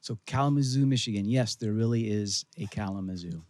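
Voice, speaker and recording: A man's voice: fairly low pitch, a little fast, a little mellow, no echo. A middle-aged man hosting a church interview, asking upbeat, friendly questions. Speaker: pastor.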